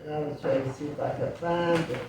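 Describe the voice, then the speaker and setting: Older woman, Chicago, animated storyteller; distant mic in a roomy space, faint hiss. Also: low voice, quick pace. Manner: storytelling, lively recollection. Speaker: guest, author. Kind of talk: reminiscing interview talk. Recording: echoey room, distant mic.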